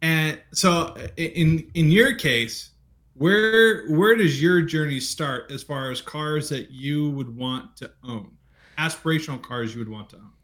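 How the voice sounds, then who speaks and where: A man hosting a casual car conversation, relaxed and rambling, with hesitant restarts. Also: a little high, unhurried. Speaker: host.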